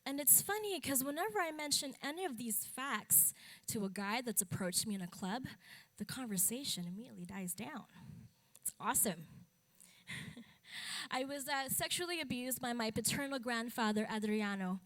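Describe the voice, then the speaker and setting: A woman's voice: fairly high pitch, animated, normal speed, a bit bright. Candid woman speaking on stage. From Philippines. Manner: candid and matter-of-fact, with wry humor. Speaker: public speaker and advocate. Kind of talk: conference talk. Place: stage at a talk event.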